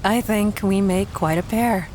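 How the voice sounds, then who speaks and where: A woman's voice: medium pitch, a little slow, a little mellow, slight background noise, close to an everyday voice. A woman playing a thoughtful, teasing, affectionate adventurer in an audio drama, speaking in a hesitant, reflective, conversational way. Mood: musing. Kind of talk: fan audio drama acting.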